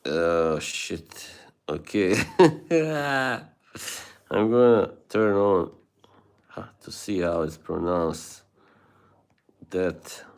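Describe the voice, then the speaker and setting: A man's voice: deep voice, expressive, drawn-out words, pauses often, a little mellow, smooth. A man with an Eastern European accent hosting a casual livestream, chatting with viewers, laughing often and swearing casually. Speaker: livestream host.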